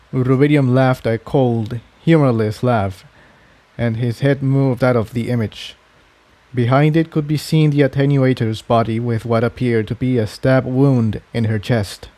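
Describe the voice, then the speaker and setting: A man reads a scripted adventure tale aloud as its narrator. His voice sits at a middle pitch. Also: dark tone, dry recording. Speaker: fan fiction narrator. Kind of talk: scripted story narration, audiobook reading.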